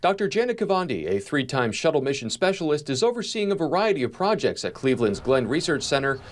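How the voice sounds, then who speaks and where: A man's voice: a little high, very animated, fast talker. An American man narrating a scripted television news report as a reporter.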